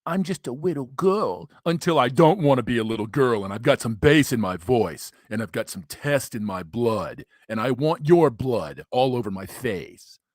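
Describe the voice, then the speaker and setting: A man's voice: medium pitch, lively intonation, normal speed, few pauses, a little mellow, dry recording, studio quality, lightly acted. A man mimicking a scheming woman. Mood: mocking. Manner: sneering mockery. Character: scheming woman acting meek then fierce.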